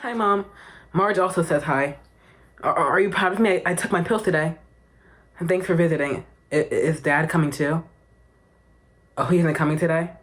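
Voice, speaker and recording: A woman's voice: sits low, normal speed, pauses often, natural voice. A woman playing a childlike young patient who stammers and pleads with her mother.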